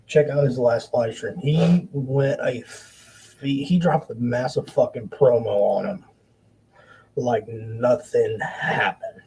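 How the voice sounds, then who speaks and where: A man hosting a wrestling podcast, giving blunt, profane opinions in casual commentary, with halting restarts. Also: medium pitch, darkish tone, slightly rough, low-quality recording.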